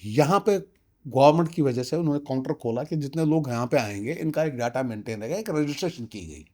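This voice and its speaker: A man's voice: medium pitch, expressive, fairly quick, a little mellow. A man of about sixty, giving emphatic interview testimony and slipping in English phrases. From Kashmir, India. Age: around 60. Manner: emphatic, insistent, uses rhetorical questions. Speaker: interviewee, community representative.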